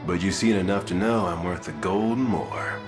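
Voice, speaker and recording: A cocky video game mercenary, played by a man, with a fairly low voice and a folksy, sardonic drawl. He sounds like a sellsword who mocks his clients and haggles freely. Music plays clearly behind him. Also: normal speed, close to an everyday voice. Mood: cocky bargaining. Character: cocky, mocking male mercenary for hire.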